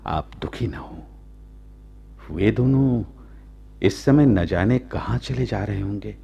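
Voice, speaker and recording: A man playing a proud, lovestruck royal commander of ancient India in a radio drama, speaking in measured, halting, formal courtly phrases. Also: fairly low pitch, lively intonation, dark tone, muffled recording, full character voice. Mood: gentle, persuading. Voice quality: gravelly, husky. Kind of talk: slow, theatrical Hindi radio drama acting.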